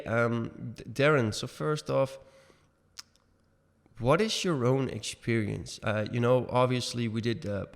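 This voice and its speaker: A man in his thirties co-hosting a casual podcast over a video call from a room at home, talking haltingly with many fillers and restarts. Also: mid-range voice, creaky, long reverb tail. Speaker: podcast co-host. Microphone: webcam video call.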